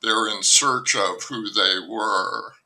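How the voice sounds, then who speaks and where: An older man, a novelist being interviewed, talking in a slow, musing, self-deprecating way with many pauses and restarts. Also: lowish voice, sharp tone, rough recording. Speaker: novelist guest. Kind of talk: reflective conversational interview.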